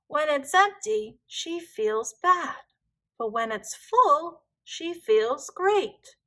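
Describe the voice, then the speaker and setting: Lady doing a patient old grandpa in a kids story, explaining real slow and gentle. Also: high-pitched, sing-song, pitched above the performer's own voice, heavily acted. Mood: gentle, instructive.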